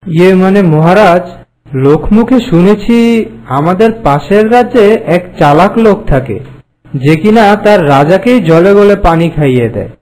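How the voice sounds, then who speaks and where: A man playing a flattering, hesitant royal minister in an animated folk tale, speaking obsequiously. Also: sits high, normal speed, poor audio. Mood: cautious. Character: deferential, flattering royal minister serving a king.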